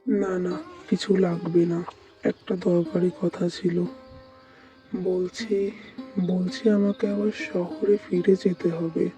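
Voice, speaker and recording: A woman's voice: on the low side, level tone, slow, pauses often, dark tone, far from the mic, soft background music. A woman voicing an earnest, devoted young man in love in a melodramatic, soothing cartoon manner. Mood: reassuring.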